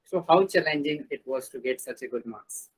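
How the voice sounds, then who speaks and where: A man's voice: medium pitch, animated, normal speed, slightly rough, rough recording. A man interviewing a guest, asking short encouraging questions.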